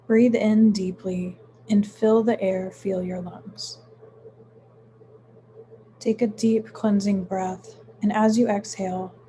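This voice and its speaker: A woman guides a meditation in a mellow, relaxed voice at a middling pitch. She speaks very slowly and soothingly, leaving frequent pauses. There is some faint noise in the recording. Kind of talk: guided meditation, gentle instruction.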